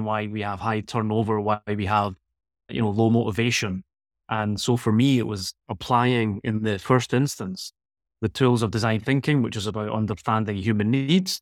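A man's voice: on the low side, no echo, studio quality. A Scottish man from Glasgow, a guest expert in a conversational interview, speaking thoughtfully and often restarting his phrases. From Scotland.

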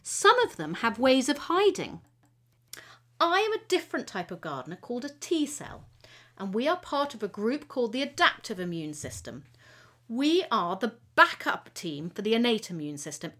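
A woman's voice: a little high, a bit bright. A woman playing a friendly, proud T cell gardener who explains things patiently in a children's educational audio story. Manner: explanatory, teacherly, encouraging.

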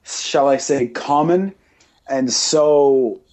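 A man with a mellow voice and middling pitch hosts a podcast. He talks casually but bluntly, airing opinions with emphasis and repeating himself in lists to drive each point home. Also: drawn-out words. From Britain. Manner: emphatic, repetitive listing, blunt. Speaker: podcast host.

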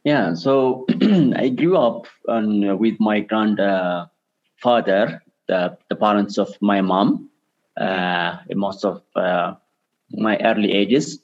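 Interview guest, male, Ethiopian accent, lowish pitch, pause-filled delivery, muffled recording. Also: steady tone. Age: 30s. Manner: halting, with frequent pauses. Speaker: interview guest, charity director. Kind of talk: personal interview answers. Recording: dull lo-fi remote call.

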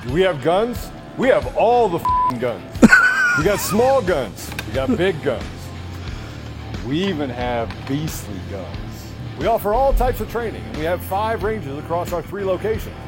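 A man playing a boastful, goofy gun store supreme leader in a comedic commercial, showy and deliberate. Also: sits high, huge pitch swings, heavily acted. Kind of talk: comedic local commercial read. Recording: loud music bed behind voice.

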